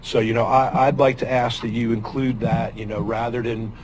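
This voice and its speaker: An older man, a former auditor, giving public comment at a meeting, methodically reading policy wording and pausing with frequent ums and restarts. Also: mid-range voice, flat delivery, darkish tone, a little hiss or hum, poor audio.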